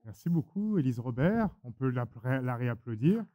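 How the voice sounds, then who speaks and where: A man's voice: medium pitch, sing-song, normal speed, warm tone. A man moderating a forum, briefly and courteously thanking the speakers.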